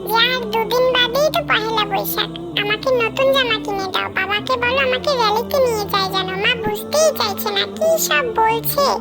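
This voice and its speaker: Woman playing a small cartoon boy, extremely high voice, whiny, insistent, scripted, loud music behind. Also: brisk. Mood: sulky. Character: whiny, insistent little boy pleading with parents.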